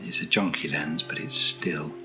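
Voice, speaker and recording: A British man speaking softly in an ASMR unboxing style, hushed and often slipping into a whisper, as the host of the video. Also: extremely low pitch, fairly even intonation, moderate pace, over music. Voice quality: soft, often dropping to a whisper. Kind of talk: soft-spoken ASMR unboxing.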